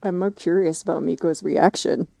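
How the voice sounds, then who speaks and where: A woman's voice: lowish voice, fairly even intonation, normal speed, vocal fry, mellow, dry recording. A woman game streamer giving casual reaction commentary in a wry, sweary, slangy way.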